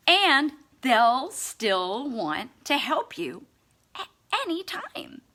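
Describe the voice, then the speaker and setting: A woman with a fairly high, bright and forceful voice reads a book aloud at an unhurried pace. Her delivery is theatrical and emphatic, laced with mock-earnest sarcasm. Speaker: amateur audiobook reader. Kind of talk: expressive scripted book reading.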